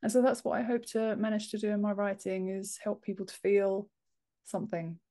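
Female, 30s, mid-range pitch, informal interviewee on a video-call podcast. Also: flat delivery, a little fast, dark tone. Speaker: podcast guest, author and book formatter. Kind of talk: casual interview chat. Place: home office room, indoors, on a video call.

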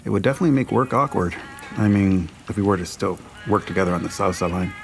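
An earnest male cook in an audio drama, nursing heartbreak. His low voice crackles with fry, and he is hesitant, wry and understated. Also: quick pace, soft background music. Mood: awkward, wry. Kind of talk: naturalistic audio drama acting.

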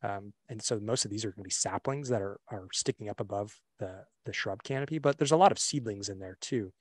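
A young man presenting a webinar on a video call, relaxed and full of fillers and restarts. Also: on the low side, brisk, husky, no echo. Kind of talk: casual slideshow presentation. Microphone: computer mic on a video call.

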